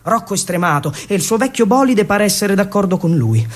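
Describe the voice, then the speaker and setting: A man performing a theatrical narrative monologue for radio, with a dramatic, irreverent delivery and emphatic pauses. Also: sits high, expressive. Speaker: stage storyteller and actor.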